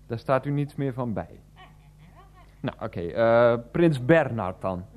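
An actor playing a pedantic, wordy middle-aged teacher in a radio play. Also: male voice, medium pitch, animated, slow pace, a little mellow. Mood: exasperated. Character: pedantic, exasperated thirty-nine-year-old unemployed teacher, henpecked son.

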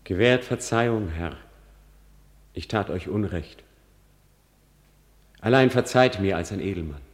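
An actor playing a melancholy, defiant young prince in a radio tragedy, theatrical, moving between brooding and fierce outcry. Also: male voice, low voice, animated, a little slow, long reverb tail. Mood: solemn, pleading. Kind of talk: classic radio play acting of stage tragedy.

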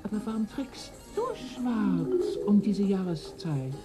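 A woman's voice: medium pitch, animated, takes it slowly, loud music behind the voice. An actress playing a domineering, eccentric old woman in her eighties, vengeful and wistful, coaxing and commanding theatrically in a German radio play. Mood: wistful, dreamy. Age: older.

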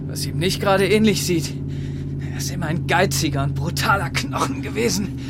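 A man's voice: sits high, normal speed, gravelly, loud music behind the voice. A young male actor playing an earnest, observant teenage German soldier in a radio drama. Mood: subdued, candid. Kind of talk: radio drama narration and dialogue. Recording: loud vehicle and outdoor background noise. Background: vehicle and outdoor sounds.

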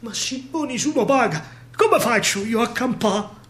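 A man's voice: very high voice, measured pace, fairly bright tone, reverberant, pitched above the performer's own voice. A man acting a frightened, reluctant Roman baker who complains in a plaintive sing-song in a verse stage monologue. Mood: resigned, worried.

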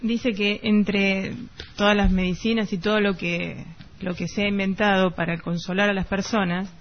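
A woman with an Argentine Rioplatense accent co-hosting a literary radio programme. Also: medium pitch. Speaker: radio co-host. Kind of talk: literary radio talk with poetic narration and read quotes. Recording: dull lo-fi radio recording. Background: background music.

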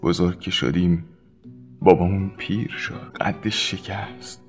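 Low-voiced man reading over soft music. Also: steady tone. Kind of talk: sentimental scripted radio tribute reading.

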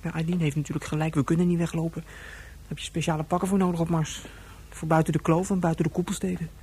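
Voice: medium pitch, level tone, fast, darkish tone, breathy edge. A performer playing a frustrated, restless young captive in a radio drama, brooding and thinking aloud.

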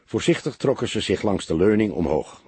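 A man narrating an audiobook story from a script in a measured, expressive way. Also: lowish voice, slightly rough, dry recording.

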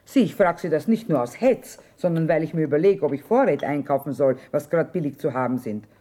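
An actress playing a shrewd, hard-bitten middle-aged war trader in a radio drama, earthy and plain-spoken. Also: female voice, sits low, expressive, a little fast, dark tone. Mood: calculating. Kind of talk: stage-style German radio drama acting.

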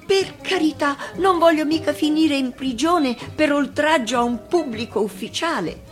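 A woman's voice: sits high, moderate pace, soft background music, pitched above the performer's own voice, close to an everyday voice. An actress voicing a naive, polite young male traveller in a storybook, astonished by what he meets. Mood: shocked, protesting.

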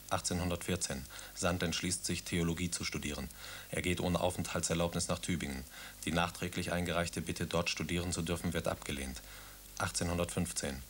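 A man's voice: sits low, fairly bright tone, a little hiss or hum. A man narrating a biographical chronicle in a terse, factual way. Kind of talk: scripted radio drama narration.

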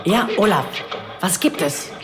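A woman's voice: on the low side, animated, a little slow, loud music behind the voice. An actress playing a jovial, scheming female party leader in a satirical radio play. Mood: smug, scheming. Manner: jovial, conspiratorial.

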